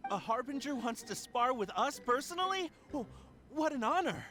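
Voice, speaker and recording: An eager young recruit in a video game, awed and deferential. His voice is very high and swoops excitedly, with music clearly behind it. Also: moderate pace, full character voice.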